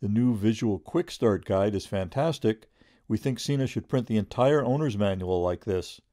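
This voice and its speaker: A man with a low, relaxed voice, recorded close and dry. He narrates a product review in measured, informative sentences, like a scripted how-to. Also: no echo.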